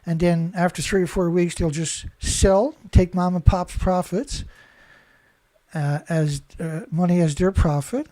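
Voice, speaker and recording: Male commentator, rambling and indignant, dark tone, dry close sound. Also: high voice, fairly even intonation, a little slow, no echo. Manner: rambling, indignant, many restarts and "right?" tags. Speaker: commentator reading news. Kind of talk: casual monologue reading headlines with commentary.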